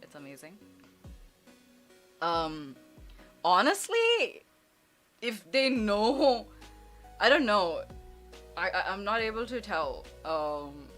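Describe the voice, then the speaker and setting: A young woman from India, chatting casually as a streamer giving advice, self-deprecating and quick to laugh. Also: mid-range voice, big pitch swings, fairly bright tone, faint music behind. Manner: chatty, self-deprecating, laughs often, switches into Hindi and Japanese.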